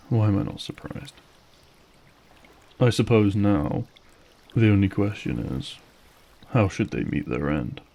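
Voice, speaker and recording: Man as slow, gravelly, menacing monster. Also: on the low side, very animated, dark tone, heavily acted. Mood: cold, resigned. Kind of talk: audio drama acting.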